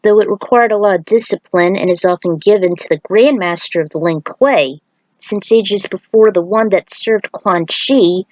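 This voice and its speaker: A woman's voice: medium pitch, dry recording, rough recording. A woman narrating a scripted fantasy story.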